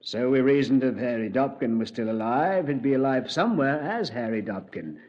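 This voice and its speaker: An actor playing a calm, authoritative senior police commander who also narrates a 1950s radio drama, with measured, clipped questions. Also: male voice, mid-range voice, close to an everyday voice. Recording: dull old radio recording.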